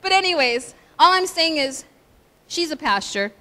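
A high, girlish voice belongs to this middle-aged woman pastor, who speaks well above the usual pitch for a woman. She talks to a women's breakfast in a chatty, animated way, full of asides. A long hall reverb trails her words. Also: normal speed.

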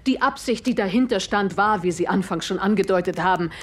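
Actress as bitter murderous widow, audio drama; husky, deliberate, defiant, cold. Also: female voice, medium pitch, close to an everyday voice. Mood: cold, confessing. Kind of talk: German audio drama acting.

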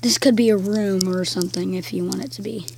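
A woman with a croaky voice giving casual gameplay commentary, slowly counting blocks aloud as she builds. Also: medium pitch, steady tone, darkish tone, slight background noise. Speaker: game video creator.

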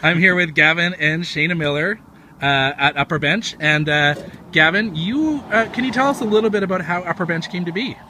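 A man in his forties hosting a casual winery interview and tasting on an outdoor patio, upbeat and quick to say fantastic. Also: on the high side, bright, forceful tone, a little hiss or hum. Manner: upbeat, says fantastic a lot. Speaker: wine blog host.

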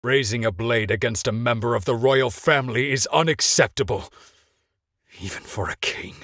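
A man's voice: medium pitch, normal speed, no room sound, clean studio sound. A man playing a loyal older knight in a video game, speaking in a grave, restrained, formal manner. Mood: firm restraint. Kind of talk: dramatic video game voice acting. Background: loud music.

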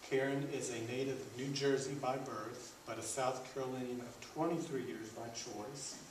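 Echoey man introducing a panel. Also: mid-range voice. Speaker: event host introducing panelists. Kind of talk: reading introductions to a live audience. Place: meeting room, indoors. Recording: echoey room from far off, clear noise.

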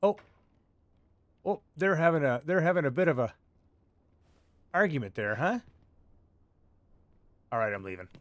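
A man's voice: a little high, sing-song, measured pace, pauses often, no echo. A man hosting a let's play, chatting casually and thinking aloud as he plays, with wry asides. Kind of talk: casual gameplay commentary.

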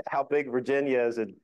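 A man's voice: mid-range voice, darkish tone, no echo. A middle-aged man giving an informal club presentation, explaining things in a conversational way. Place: indoor meeting room.

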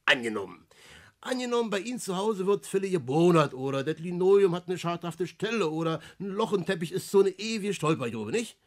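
An actor in a 1960s German radio play as a cocky, ageing small-time schemer, lecturing in slangy talk. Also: male voice, high-pitched, very animated, fairly quick. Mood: playful, lecturing. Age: older.

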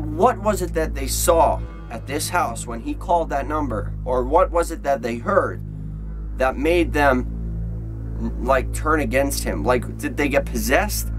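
A man in his twenties hosting a video, talking casually to camera in a rambling, emphatic way and repeating himself. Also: medium pitch. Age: 20s. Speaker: video host.

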